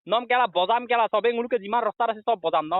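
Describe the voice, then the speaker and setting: A man's voice: extremely high pitch, fast talker, dry recording. A man in a heated, slangy phone argument, confrontational and scolding, repeating himself. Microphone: phone call. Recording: muffled dry phone call recording.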